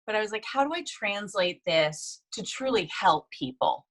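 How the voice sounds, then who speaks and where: A woman in her forties from the United States, a guest telling her life story in a casual, animated interview. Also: medium pitch, moderate pace.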